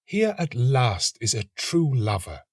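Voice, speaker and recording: A man's voice: mid-range voice, big pitch swings, slow, no echo, clean studio sound. A man voicing a tender female nightingale in a storybook, earnest and romantic, with a lyrical, wondering delivery. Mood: wondering, admiring. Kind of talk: storybook reading.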